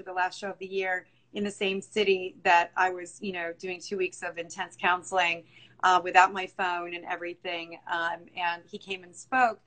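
A woman's voice: on the low side, bright, forceful tone, low-quality recording. Rambling middle-aged woman hosting a live chat. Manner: rambling, filler-heavy, earnest. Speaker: host. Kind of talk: live video chat interview. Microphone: video call on phone.

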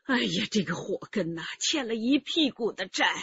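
A woman's voice: medium pitch, animated, moderate pace, gravelly, fairly bright tone, highs cut off, a little hiss or hum. An actress playing an elderly, chatty neighbour woman who sighs and complains in a radio drama. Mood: grumbling.

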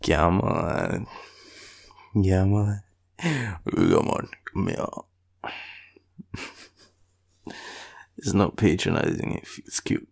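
A tired Australian man speaks in a deep, husky voice full of heavy vocal fry. His pitch swings widely as he teases and rambles in a halting, intimate boyfriend roleplay. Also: wildly swooping pitch, a little mellow. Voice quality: low, gravelly, husky. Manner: sleepy, playful, teasing, halting. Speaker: boyfriend roleplay audio performer.

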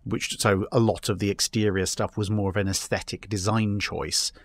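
A man with a fairly low voice talks casually and at length. He wanders through his thoughts with a wry, amused air, and the sound is dry and close. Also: flat delivery, moderate pace, dry recording. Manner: discursive, wryly amused. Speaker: podcast guest answering fan questions. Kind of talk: casual chat.

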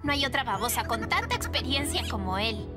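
A woman playing a wry, quick-witted teenage girl in a cartoon dub. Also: a little high, very animated, loud music behind the voice.

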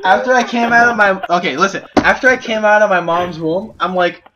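A man improvising a comic story to friends over voice chat, halting and giggly, often repeating himself. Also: sits high. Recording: noisy gaming voice chat with clicks.

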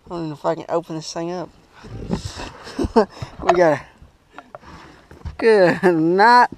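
Joking high-voiced man on a boat. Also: takes it slowly, background noise. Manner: playful, teasing, laughs often. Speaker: vlog host. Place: outdoors on a bass boat on a lake. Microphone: action camera.

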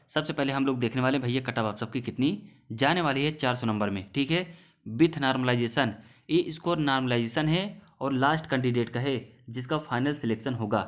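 Man explaining, mid-pitched voice. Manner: repetitive, addresses listeners as brothers. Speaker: exam-preparation YouTube presenter. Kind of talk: informal explainer over on-screen notes.

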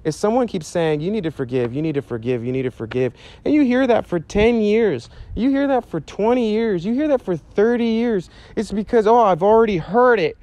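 A man in his thirties preaching outdoors to a camera, earnest and animated, talking casually with fillers. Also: high voice, brisk, few pauses, no room sound, low-quality recording. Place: outdoors by a chain-link fence on a sunny day. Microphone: handheld mic, medium camera shot.

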